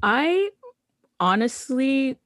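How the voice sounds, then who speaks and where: A young woman co-hosting a podcast, chatting in a relaxed, conversational way about a TV episode. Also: high-pitched, very slow, no echo, clean studio sound. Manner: relaxed, conversational, many fillers. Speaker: podcast co-host. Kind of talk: casual chat recapping a TV episode.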